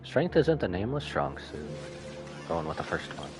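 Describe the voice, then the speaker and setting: A deep-voiced man streams a game, reacting informally in slangy chat. His intonation is lively, with faint music behind him. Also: normal speed. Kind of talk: casual live reaction commentary.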